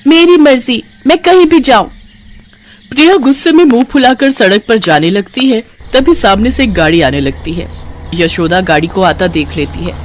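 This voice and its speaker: A woman's voice: mid-range voice, animated, normal speed. Woman narrating a children's story.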